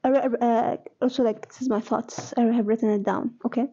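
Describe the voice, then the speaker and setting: Chatty woman, soft higher voice. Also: fairly even intonation, a little fast, husky, highs cut off. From Egypt. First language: Arabic. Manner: rambling, chatty, self-correcting. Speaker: book vlogger. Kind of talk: casual reading vlog chat.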